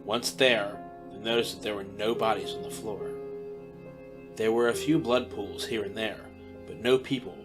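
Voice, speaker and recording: A man's voice: on the low side, fairly even intonation, normal speed. A man giving plain, matter-of-fact scripted narration for an audio drama. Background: guitar music.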